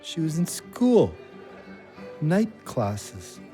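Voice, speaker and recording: A man's voice: a little high, huge pitch swings, drawn-out words, mellow, soft background music, heavily acted. An actor in an audio drama playing a troubled, hot-tempered man with a drinking problem, blunt and slangy. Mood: defensive, sullen. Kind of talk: gritty contemporary audio drama dialogue.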